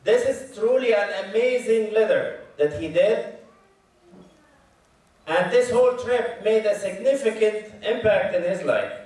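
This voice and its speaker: A man from Egypt with an Egyptian Arabic accent, giving a lecture at a community event, chatty with the crowd and taking care to speak slowly. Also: high-pitched, expressive, darkish tone. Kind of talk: mosque lecture to an audience. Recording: very echoey hall, voice heard from far.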